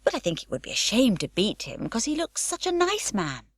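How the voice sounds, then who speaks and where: A woman's voice: on the high side, huge pitch swings, fairly quick, dry recording, pitched above the performer's own voice. Woman voicing a cocky super-strong little girl. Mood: cheeky. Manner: breezy, cocky, matter-of-fact.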